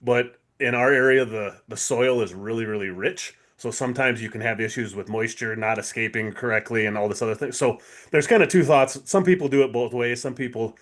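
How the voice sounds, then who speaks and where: Male co-host, medium pitch, agreeable and unpretentious, casual conversational delivery. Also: fairly quick. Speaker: podcast co-host. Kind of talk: casual chat.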